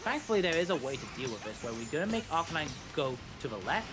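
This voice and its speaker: A man with a fairly high voice commentates a video game speedrun, explaining it step by step. He often repeats and rephrases himself, with game music clearly playing behind him. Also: very animated, fast talker. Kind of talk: live gameplay commentary, explanatory.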